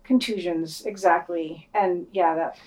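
Casting: woman, lowish voice, opinionated podcast panelist, loose conversational delivery with casual swearing. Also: steady tone, unhurried, darkish tone, low-quality recording. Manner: opinionated, informal, frequent swearing. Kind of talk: casual discussion.

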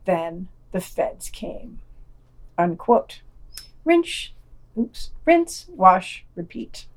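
A woman's voice: lowish voice, very animated, drawn-out words, poor audio. Woman reading a scripted podcast news roundup. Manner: reads quotations aloud, announcing quote and unquote. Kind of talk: scripted narration of news summaries and quoted articles.

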